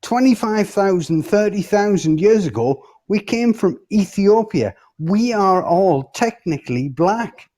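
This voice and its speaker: A man's voice: high-pitched, expressive, measured pace, hardly any pauses, a little mellow. A man in a heated casual debate, speaking insistently, cutting in and swearing freely.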